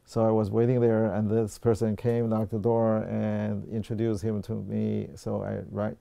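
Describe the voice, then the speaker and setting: Creaky, dark-voiced older Chinese-accented man. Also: lowish voice, completely flat delivery, measured pace, no room sound. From China. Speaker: artist and art professor. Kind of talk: personal storytelling in a documentary interview.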